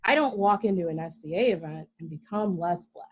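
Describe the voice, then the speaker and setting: A woman's voice: fairly low pitch, brisk, slightly rough, lo-fi sound. A woman speaking as a round table panelist, making her point emphatically and persuasively. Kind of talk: panel discussion.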